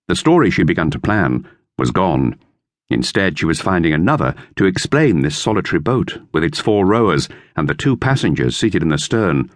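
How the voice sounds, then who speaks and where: A man reading a children's novel as an expressive audiobook narrator. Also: low voice, normal speed, no room sound, clean studio sound.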